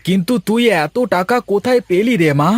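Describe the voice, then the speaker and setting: A man playing a worried, gentle farmer father in a village cartoon, with a plaintive, fretful manner. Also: high voice, a little fast, no room sound. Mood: surprised, doubtful. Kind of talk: Bengali moral-story cartoon voice acting.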